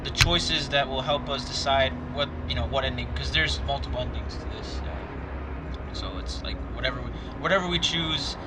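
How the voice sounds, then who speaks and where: A man's voice: medium pitch, moderate pace, very noisy. A man hosting a gaming video, chatting loosely and reading a story game aloud, rambling with many fillers and restarts. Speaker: gaming channel host. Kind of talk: casual let's play commentary, reading a story game aloud.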